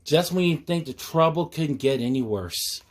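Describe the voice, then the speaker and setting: A man's voice: fairly high pitch, animated, normal speed. A man reading a rhyming story aloud as a storyteller, expressively, with folksy, playful asides to his listeners.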